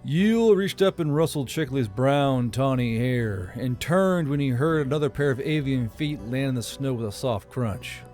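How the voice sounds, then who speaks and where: A man's voice: mid-range voice, lively intonation, normal speed, few pauses, darkish tone, soft background music. A man reading scripted fantasy audiobook narration as a storyteller.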